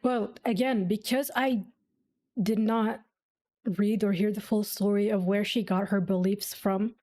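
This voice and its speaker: A woman's voice: medium pitch, steady tone, measured pace, warm tone. A woman streaming a game, giving casual, wry, sceptical commentary as she thinks aloud. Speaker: gaming streamer. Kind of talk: casual reaction commentary over gameplay. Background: game music.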